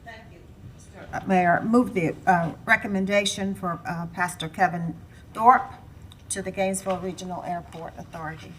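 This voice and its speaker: A woman commissioner speaks up with short formal motions, her voice a little low. She reads names and dates deliberately, stumbling slightly, against a faint hum of the chamber. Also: steady tone, fairly bright tone. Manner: brief, halting, deliberate reading of dates. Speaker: city commissioner making motions. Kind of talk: procedural motions in a meeting. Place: council chamber.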